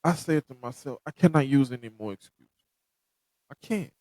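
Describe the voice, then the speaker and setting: A man's voice: medium pitch, animated, moderate pace, dark tone, no room sound, low-quality recording. A man hosting a podcast, giving a direct, motivational talk that repeats its points. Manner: preachy, direct, repeats himself. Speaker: podcast host.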